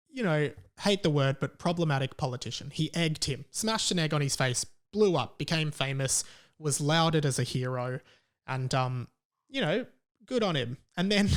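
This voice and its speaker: An Australian man talks solo as a podcast host, with a fairly high-pitched voice. His delivery is casual and rambling, full of opinion and happy to swear. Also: smooth.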